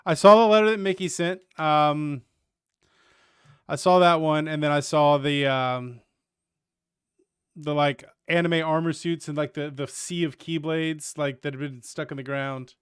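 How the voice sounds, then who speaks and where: A man's voice: on the high side, fairly even intonation, unhurried, clear, resonant tone, no echo, clean studio sound. A man doing casual livestream commentary with wry, joking asides. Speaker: streamer.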